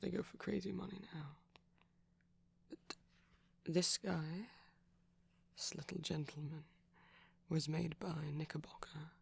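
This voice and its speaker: Give a hushed, creaky male voice for a shy, gentle vampire boyfriend played by a man. He rambles tenderly and hesitantly in ASMR style, often dropping to a whisper. The tone is breathy and a little husky. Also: a little high, steady tone, measured pace, long pauses. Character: shy, gentle pastel vampire boyfriend showing off plush toys. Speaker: ASMR roleplay performer.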